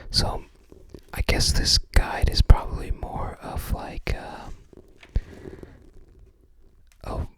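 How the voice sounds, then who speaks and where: Slow, hushed ASMR whisperer. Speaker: ASMR creator. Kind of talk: whispered ASMR, casual book browsing commentary.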